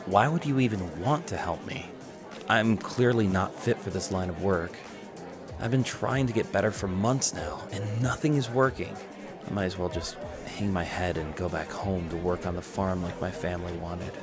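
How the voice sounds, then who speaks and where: A man's voice: low voice, moderate pace, over music, lightly acted. A man voicing an insecure, bitter young male trainer in a fan fiction reading, sullen and self-deprecating. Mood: bitter, dejected.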